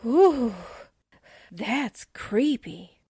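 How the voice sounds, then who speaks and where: Woman acting as wide-eyed female sidekick, lively swooping pitch, excitable, caring, exclamatory, dry sound, radio drama. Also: extremely slow, slightly rough, no echo, heavily acted. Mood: curious. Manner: expressive, exclamatory.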